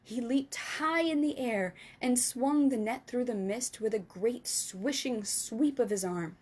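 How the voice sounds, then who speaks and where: A woman reading a children's book aloud, telling it slowly and carefully. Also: on the high side, darkish tone, husky. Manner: slow, careful storytelling. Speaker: storytime reader.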